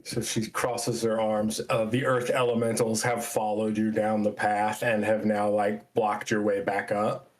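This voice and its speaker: A man narrating a tabletop game as game master in a casual, halting way. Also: on the low side, monotone, poor audio.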